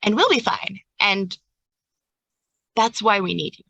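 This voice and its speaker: An earnest ringmaster of a strange carnival as a woman acts it out in a tabletop game. The voice is crisp-edged and forceful, mid-pitched for a woman, with halting, ominous phrasing and a dry sound. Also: expressive, no room sound, heavily acted. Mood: grave, reassuring.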